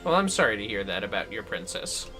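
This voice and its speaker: A man's voice: fairly low pitch, sing-song, fast talker, over music. A man chatting casually and a bit ramblingly as he livestreams a game, swearing freely. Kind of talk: casual chat while gaming.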